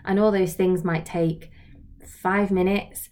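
A woman's voice: fairly low pitch, fairly even intonation, takes it slowly, a little mellow. A woman giving an informal instructional talk, reflective and explanatory, often putting questions to the listener. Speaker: social work trainer and video host.

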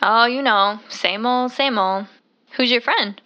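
An actress playing a friendly, chatty young diner waitress in an audio drama, with an informal, chirpy manner. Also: female voice, a little high, slow, sharp tone, muffled recording. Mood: chirpy, welcoming. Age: young adult.

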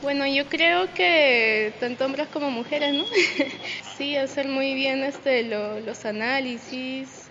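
A woman answering in an interview, hesitant and full of fillers. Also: fairly high pitch. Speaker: interview guest. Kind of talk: conversational interview. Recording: dull sound with background noise.

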